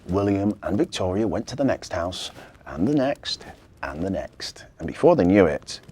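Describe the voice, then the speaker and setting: Very animated, slightly husky storyteller. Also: mid-range voice. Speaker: story narrator. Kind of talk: expressive children's story reading.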